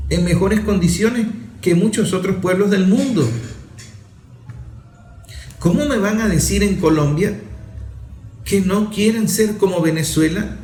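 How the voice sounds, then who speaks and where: A man's voice: sits high, darkish tone, roomy sound. A man giving an emphatic opinion monologue, listing his points and asking rhetorical questions.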